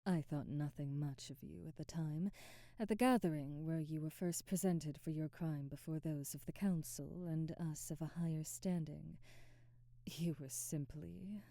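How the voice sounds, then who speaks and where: Low, dark-voiced vampire aristocrat, acted by a woman. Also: dry recording, clean studio sound. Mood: proud, resentful. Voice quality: soft, breathy, whispery. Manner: measured, formal, old-fashioned diction. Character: proud, ambitious female vampire aristocrat, remorseful, domineering captor. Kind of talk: intimate ASMR roleplay, scripted monologue.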